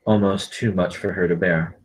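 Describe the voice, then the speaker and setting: Deep-voiced male screenplay narrator. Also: steady tone, moderate pace, low-quality recording. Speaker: stage-direction narrator. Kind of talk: scripted narration at a film table read.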